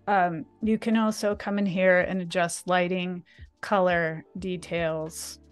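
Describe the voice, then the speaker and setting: A woman's voice: fairly low pitch, level tone, takes it slowly, clean tone. Woman, enthusiastic tutorial guest on a video call, faint music bed. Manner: chatty, enthusiastic. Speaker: guest designer demonstrating software. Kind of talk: live screen-share tutorial.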